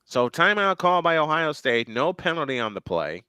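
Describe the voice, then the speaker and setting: A man calling football play by play, his voice bright and a little high. He sounds dry and close to the mic and swings up into excited calls on big plays. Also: moderate pace, clear, resonant tone, dry recording. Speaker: play-by-play commentator. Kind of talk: live game commentary on a fan stream.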